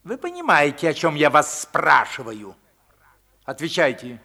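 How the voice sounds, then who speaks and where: Bright-voiced, domineering older patriarch. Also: male voice, a little high, wildly swooping pitch, a little slow, vocal fry, full character voice. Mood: stern, interrogating. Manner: commanding, clipped interrogator. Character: domineering older wealthy father interrogating his household. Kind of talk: radio play acting.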